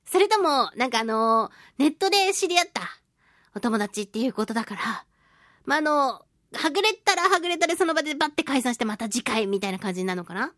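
A woman's voice: sits high, a little fast, dry recording, clean studio sound. A woman hosting a chatty solo radio show, reading and responding to listener mail in a playful, exclamatory way. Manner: playful, exclamatory, giggly, expressive interjections. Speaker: radio show host, voice actress.

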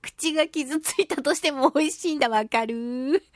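A woman's voice: sits high, moderate pace, no echo, clean studio sound. A woman hosting a casual radio talk show, chatting expressively with a giggly manner as she reads listener mail. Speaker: radio show host and voice actress.

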